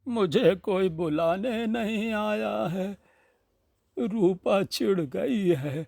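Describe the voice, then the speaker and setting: A man's voice: sits high, drawn-out words, vocal fry, a little mellow, dry recording, voice pushed up, full character voice. A man voicing a frail old village woman, hungry and brooding, muttering plaintively to herself in a storybook reading. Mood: anxious waiting.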